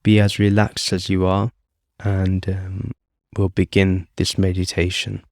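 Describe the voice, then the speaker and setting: A man calmly leading a guided meditation as host, speaking off the cuff in short phrases with long pauses. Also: sits low, gravelly, dry recording, studio quality. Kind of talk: guided meditation, unscripted instruction.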